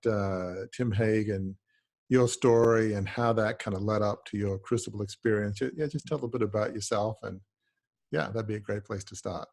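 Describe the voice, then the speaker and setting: A man hosting a podcast, asking the guest questions in a friendly, hesitant way with lots of fillers. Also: on the low side. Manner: warm, hesitant, many fillers. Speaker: podcast host. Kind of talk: interview questions.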